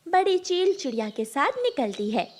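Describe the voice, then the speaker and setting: A woman's voice: sits high, very animated, normal speed, a little mellow, slight background noise. A woman narrating a scripted cartoon story as the storyteller.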